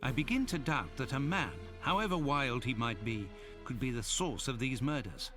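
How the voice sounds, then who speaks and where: An actor playing a polite, uneasy gentleman sidekick with a genteel, earnest way of talking in a video game. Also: medium pitch, expressive, moderate pace, music in the background, lightly acted. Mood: uneasy concern. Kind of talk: video game cutscene voice acting.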